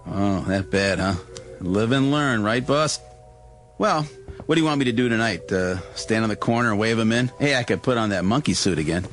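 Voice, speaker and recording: An actor playing an easygoing, wisecracking American car-lot assistant in a radio drama, with a casual, folksy way of talking. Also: male voice, fairly low pitch, normal speed, faint music behind, close to an everyday voice. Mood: chipper.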